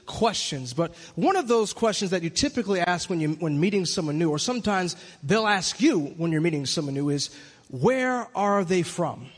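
A man's voice: sits high, animated, moderate pace. A man from Michigan preaching in an earnest, reflective way that builds to emphatic points. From Michigan, USA. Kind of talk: sermon-style monologue. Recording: long hall reverb.

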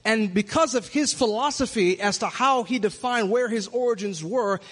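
A man's voice: high voice, moderate pace, fairly bright tone. A man from Michigan preaching in an earnest, reflective way that builds to emphatic points. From Michigan, USA. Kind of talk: sermon-style monologue. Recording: long hall reverb.

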